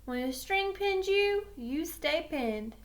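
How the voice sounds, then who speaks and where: A woman acts out a cocky eighth-grade football jock from a storybook, high-voiced and pushy. He brags about himself as if he were a legend. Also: animated, slow pace, exaggerated voice. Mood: cocky, eager. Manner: boastful, talks of himself in third person. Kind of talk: storybook character voice.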